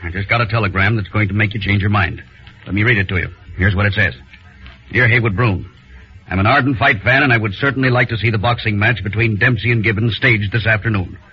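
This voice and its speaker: An actor playing an insistent American writer with urgent news in a 1940s radio drama, measured in delivery. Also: male voice, lowish voice, fairly even intonation, close to an everyday voice. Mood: firm, persuasive. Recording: dull old radio broadcast.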